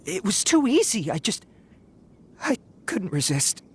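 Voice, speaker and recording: A man's voice: very high-pitched, huge pitch swings, a little slow, slight background noise, exaggerated voice. A man voicing a cowardly young bandit in a video game, nervous and stammering, pleading and sheepish. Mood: remorseful, anxious. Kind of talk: dramatic video game voice acting.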